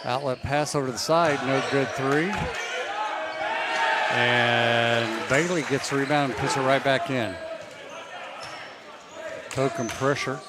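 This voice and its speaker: A man giving rapid, clipped play-by-play commentary on a basketball game. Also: mid-range voice, fairly even intonation, heavy background noise. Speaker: play-by-play announcer.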